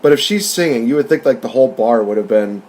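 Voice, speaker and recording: A man with a middling pitch hosts a casual film discussion. He rambles enthusiastically as he recaps and gives his take, in a conversational reviewer's style. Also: animated, fast, darkish tone. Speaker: podcast host and film reviewer.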